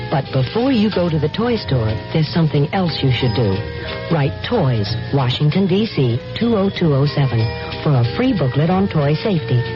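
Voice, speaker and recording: Higher-pitched man, animated radio announcer, scripted spot, muffled old recording. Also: moderate pace, over music. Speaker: public service announcer.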